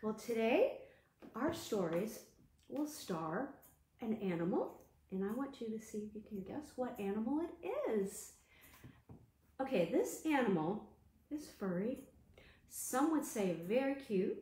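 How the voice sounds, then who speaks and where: A woman hosting an interactive storytime as a children's librarian, talking in an animated, sing-song way to small children. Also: on the high side, measured pace, long pauses, echoey room.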